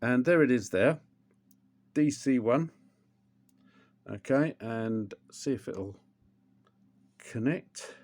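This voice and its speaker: A man's voice: medium pitch, expressive, takes it slowly, pauses often, darkish tone. An older man, chatting casually through a how-to demonstration at home, rambling with plenty of ums and restarts. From England. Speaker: motorcycle vlogger.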